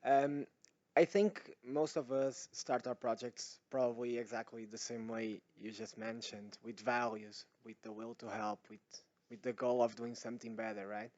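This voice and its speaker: A man's voice: medium pitch, level tone, normal speed, a little mellow, muffled recording. A man in the audience asking a long, casual question at a forum, with asides and jokes. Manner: casual and chatty, uses "right?" and jokes.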